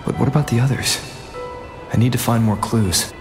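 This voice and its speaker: A man voicing a calm, determined young adventurer in a game, thinking aloud in a measured, reflective way. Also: medium pitch, lightly acted. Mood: pensive. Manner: measured, reflective inner monologue. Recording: echoing game voice over music.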